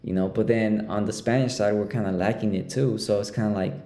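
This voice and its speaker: Gravelly low-voiced man in an echoey room. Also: fairly even intonation, warm tone. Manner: halting, many restarts and fillers. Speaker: podcast host. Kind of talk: casual conversational interview.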